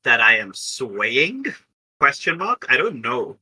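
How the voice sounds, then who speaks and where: A man's voice: mid-range voice, sing-song, a little slow, pushed and bright, breathy edge, low-quality recording. A man playing a tabletop roleplaying game, talking casually and reasoning aloud as he goes.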